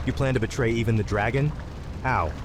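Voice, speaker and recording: Man as a defiant wizard, medium-pitched. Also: fairly quick, background noise, natural voice. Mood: probing. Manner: blunt, questioning. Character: defiant, wary young human male wizard. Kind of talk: fantasy game cutscene acting. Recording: music behind voice. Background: music.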